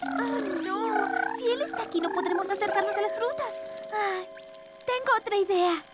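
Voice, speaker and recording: A woman's voice: extremely high pitch, animated, moderate pace. A woman voicing a sweet, anxious young female furry creature in a cartoon, earnest and fretful. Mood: nervous. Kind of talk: cartoon dubbing. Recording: music behind the voice.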